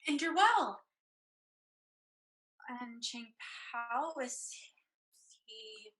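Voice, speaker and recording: A woman acting a gentle, hesitant sister, speaking haltingly in a staged play reading. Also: fairly high pitch, animated, slightly rough, full character voice, rough recording. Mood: hesitant, hurt.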